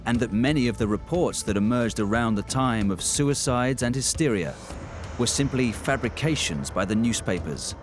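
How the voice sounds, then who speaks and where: Documentary narrator: man, medium pitch, measured and formal scripted read over faint music. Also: expressive.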